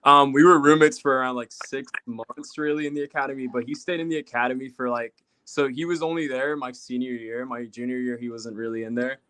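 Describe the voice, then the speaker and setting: A man's voice: mid-range voice, steady tone, fairly quick, few pauses, pushed and bright, a little hiss or hum. A young man from Puerto Rico, a guest telling rambling stories in casual chat with many fillers.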